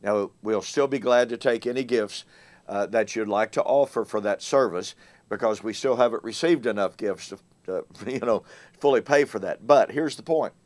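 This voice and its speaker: A man's voice: fairly low pitch, moderate pace, a little mellow. A man hosting a morning Bible teaching show, talking casually with a voice still weak from laryngitis, emphatic and repeating words with frequent fillers. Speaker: host.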